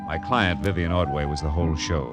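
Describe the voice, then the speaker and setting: An actor playing a world-weary, wisecracking private detective in hard-boiled 1940s radio drama, wry and clipped. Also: male voice, a bass voice, a little fast, music in the background. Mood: wry narrating. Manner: wry, clipped, tough-talking.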